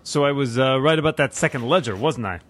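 An actor playing a defensive, uneducated, streetwise business manager in an old-time detective radio play. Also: male voice, medium pitch, fast, fairly bright tone, close to an everyday voice. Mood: brash. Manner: slangy, ungrammatical tough talk.

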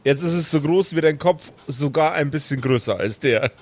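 A man's voice: on the high side, moderate pace, a little mellow. A man improvising narration as the game master of a tabletop role-playing game, in a playful way. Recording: music bed behind the voice. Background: background music.

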